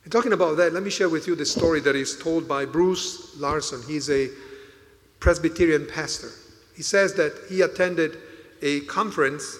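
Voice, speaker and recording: A man whose first language is Italian, preaching a homily as a priest, deliberate and emphatic. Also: a little high. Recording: reverberant church hall.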